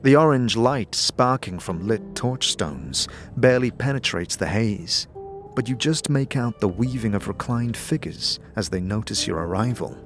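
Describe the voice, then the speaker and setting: A man's voice: fairly low pitch, expressive, moderate pace, soft background music. A man narrating a scripted fantasy audio drama in the second person, with dramatic, descriptive storytelling. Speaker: narrator and game master.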